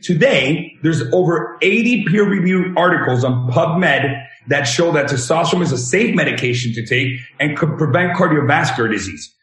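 A man's voice: a little high, normal speed, few pauses, fairly bright tone, soft background music. A man in his thirties, from Venezuela, earnestly explaining his health practice as a guest. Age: 30s. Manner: explanatory, earnest, leans on examples. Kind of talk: interview answers. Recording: roomy echo.

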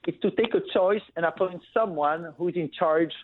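A man's voice: high voice, normal speed. A man from Belgium, a guest on a business talk show, speaking over the phone in a casual, fluent way with many fillers. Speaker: guest, family business founder. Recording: tinny phone line with faint noise.

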